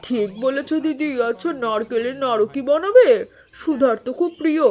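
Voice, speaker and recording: A helpful neighbour woman from an animated village tale, acted by a woman. Her voice sits high and sounds friendly. Music runs underneath. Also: a little fast. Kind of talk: cartoon voice acting.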